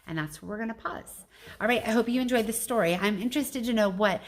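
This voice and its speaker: A woman's voice: on the high side, fast. A woman reading a children's storybook aloud like a teacher, with casual asides to her listeners.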